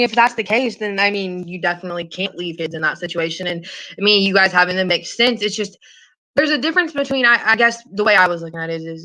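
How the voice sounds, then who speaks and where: A woman's voice: lowish voice, sharp tone, rough recording. A woman in a casual, earnest phone conversation, rambling and hesitant, often repeating herself and swearing casually. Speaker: caller in a private phone call.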